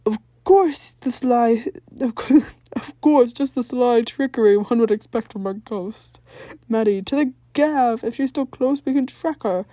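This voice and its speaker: A woman's voice: on the high side, a little slow, mellow, dry recording, studio quality, natural voice. A woman voicing a zealous, bombastic middle-aged father who hunts ghosts, fierce and emphatic, in a story reading. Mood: excited, triumphant.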